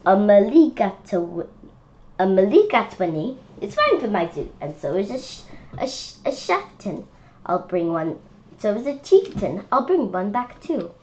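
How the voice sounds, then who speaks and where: A woman's voice: medium pitch, big pitch swings, measured pace, a little mellow, muffled recording. A woman reading a rhyming picture book aloud informally, often stumbling over words and repeating phrases. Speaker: children's book read-aloud reader.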